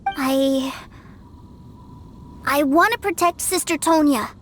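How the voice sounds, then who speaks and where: A woman voicing an innocent, excitable little boy in a video game, earnest and wheedling toward the big brother he adores. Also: sits high, slow, noisy background. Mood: earnest, admiring. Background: music.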